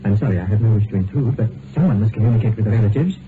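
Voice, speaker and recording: An actor playing a tactful, awkward doctor in a vintage radio drama, speaking formally and haltingly. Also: male voice, on the low side, animated, music in the background, close to an everyday voice. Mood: hesitant, concerned. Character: tactful, awkward male doctor, polite and helpful. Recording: noisy tinny old radio broadcast recording.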